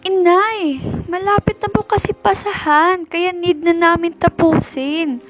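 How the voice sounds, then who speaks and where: A woman's voice: extremely high pitch, fairly even intonation, a little slow. A woman playing an aggrieved, emotional teenage daughter in an amateur radio drama. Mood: defensive, resentful. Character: aggrieved teenage eldest daughter, grieving and defensive. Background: background music.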